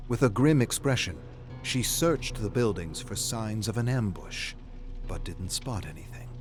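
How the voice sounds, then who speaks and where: A male actor playing a grave storyteller, narrating a dark fantasy saga in a deliberate, suspenseful way. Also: on the low side. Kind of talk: scripted dramatic narration. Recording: music behind the voice. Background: music.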